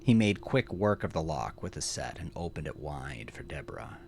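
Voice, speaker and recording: Casting: male horror audio drama narrator, very low and gravelly, dramatic delivery, noisy ambient backing. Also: very animated, moderate pace.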